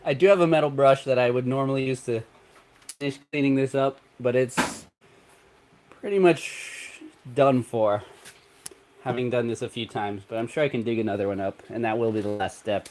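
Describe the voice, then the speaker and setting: Stuffy-nosed guy rambling on a livestream, mid pitch, bit of hiss behind. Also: normal speed. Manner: relaxed, rambling, chuckles often. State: congested. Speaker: 3D printing livestream host. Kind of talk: casual livestream talk while working.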